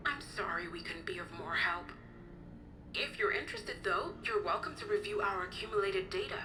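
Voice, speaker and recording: An actress playing a grave, courteous female informant of a rebel faction in a video game, speaking formally and in measured explanation. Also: mid-range voice, animated, background noise, natural voice. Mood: apologetic. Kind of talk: scripted video game dialogue. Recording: game music under the voice. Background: game background music.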